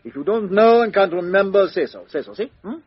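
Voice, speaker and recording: A man's voice: high voice, big pitch swings, brisk, slightly rough, exaggerated voice. A man playing a fussy, precise foreign detective, in a dull-sounding old radio drama recording. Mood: probing. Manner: precise, hesitant, inquisitive. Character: fussy foreign male detective, Belgian, middle-aged. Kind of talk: 1950s radio drama acting.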